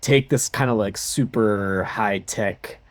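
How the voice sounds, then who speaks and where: A man's voice: on the low side, slow pace, no echo. A man co-hosting a podcast, speaking in a casual, conversational style. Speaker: podcast co-host and composer. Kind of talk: casual chat.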